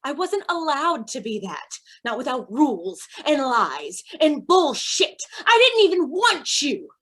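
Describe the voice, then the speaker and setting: A woman's voice: sits high, sing-song, measured pace, a bit bright, heavily acted. An actress playing a ruthless, bitter female crime boss in a staged play reading. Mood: bitter, furious.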